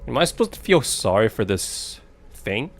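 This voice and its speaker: A man's voice: medium pitch, very animated, moderate pace, whispered. Sarcastic man streaming a horror game. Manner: sarcastic, joking back at the game. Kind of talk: let's play commentary.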